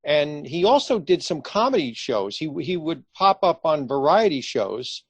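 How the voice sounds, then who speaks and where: A man's voice: a little high, sing-song, moderate pace, slightly rough, dry recording. A man conducting a casual interview, feeling his way through questions in a conversational style. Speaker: interviewer, radio theatre company director. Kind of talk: casual, hesitant interview chat.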